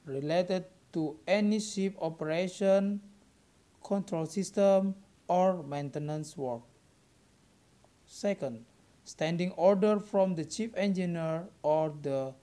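A young man with an Indonesian accent reads a scripted student presentation aloud, halting word by word. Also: high voice, mellow. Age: young adult.

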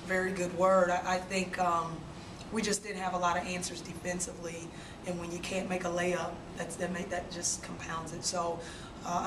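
Subdued woman coach, postgame presser, low and monotone, roomy distant desk-mic sound with noise. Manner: subdued, measured, frequent fillers. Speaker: head basketball coach. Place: press room with sponsor backdrop. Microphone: desk mic on stand. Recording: roomy, distant, noisy press room audio.

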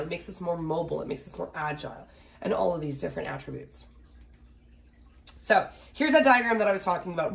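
A woman in her thirties giving a casual recorded biology lecture from a small home office, a little nasal from a cold, often asking "right?". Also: lowish voice. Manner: chatty, asks "right?" often, fillers. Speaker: biology instructor.

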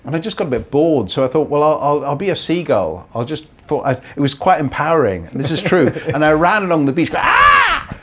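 A middle-aged man, a stand-up comedian, tells anecdotes in an animated, playful way. He keeps breaking into shrieks and impressions. He is recorded in a small indoor photo studio from a camera some way off. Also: fairly high pitch, brisk, hardly any pauses, slight background noise. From Newcastle, northeast England. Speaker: comedian guest. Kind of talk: animated comic storytelling.

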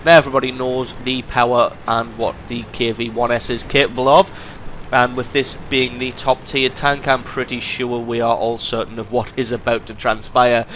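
A man with a cold hosting a gaming channel, commenting on a tank game replay in an amused, lively way. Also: medium pitch, normal speed, a little hiss or hum. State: has a cold.

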